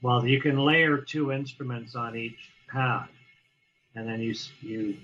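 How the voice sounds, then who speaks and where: A man talking casually as an interview guest, halting and restarting often as he explains how he plays drums. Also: mid-range voice, steady tone, fairly bright tone, dull audio. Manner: halting, many restarts and fillers. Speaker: guest drummer.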